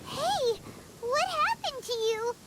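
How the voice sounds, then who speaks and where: A woman voicing a tiny, excitable, blunt fairy companion in a video game, with a squeaky childlike voice and exclamatory manner. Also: takes it slowly, slight background noise, full character voice. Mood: alarmed, excited. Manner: exclamatory, refers to herself in third person. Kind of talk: scripted video game acting.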